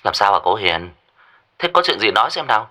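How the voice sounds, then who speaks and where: A man's voice: lowish voice, very animated, a little fast, pushed and bright. Man voicing a concerned, questioning woman caller. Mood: worried. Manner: questioning, stammers when surprised. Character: brisk, concerned older sisterly woman on phone.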